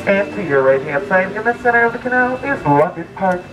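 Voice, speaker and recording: High-voiced male boat ride guide, distant. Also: animated. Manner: theatrical, drawn-out emphasis on key words. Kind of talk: scripted storytelling tour narration. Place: outdoors aboard a tour boat. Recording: distant amateur recording with loud background music. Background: music and ride ambience.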